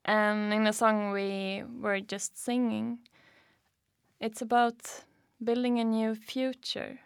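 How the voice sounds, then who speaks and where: A woman hosting a radio documentary, asking measured questions in an interview. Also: on the high side, flat delivery, clear, resonant tone, no echo, clean studio sound.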